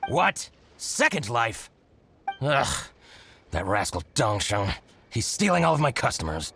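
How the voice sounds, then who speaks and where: An actor playing a scheming, spiteful shopkeeper in a video game, with exaggerated, exclamatory, gloating delivery. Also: male voice, medium pitch, sing-song, unhurried, gravelly, a bit bright, faint music behind, exaggerated voice. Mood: indignant. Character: scheming, theatrical male shopkeeper, gloating and spiteful toward rival.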